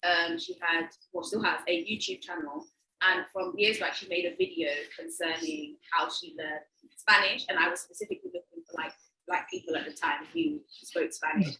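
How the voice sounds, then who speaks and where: A woman co-hosting a podcast, telling a story in an animated, casual way. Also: fairly low pitch, moderate pace. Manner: animated storyteller, laughs often. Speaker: podcast co-host. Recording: echoey room.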